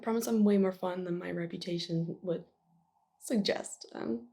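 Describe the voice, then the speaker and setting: A woman's voice: fairly low pitch, creaky, mellow. A woman playing a shy, flustered young office worker confessing a crush in a nervous, rambling, giggly ASMR roleplay. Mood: hopeful, bashful. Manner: nervous, halting, rambling, giggly, self-deprecating. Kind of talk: intimate ASMR roleplay monologue.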